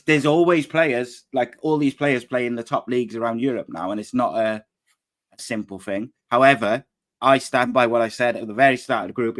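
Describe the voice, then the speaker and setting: A relaxed man hosts a casual football podcast. His voice sits at an ordinary middle pitch. He often hesitates and lets his sentences fade into a low mumble. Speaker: podcast host.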